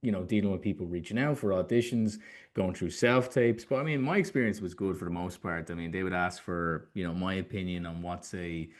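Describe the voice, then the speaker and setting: A man's voice: sits low, a little fast. A young Irish man hosting a casual interview in an informal, loosely hesitant way. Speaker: podcast host.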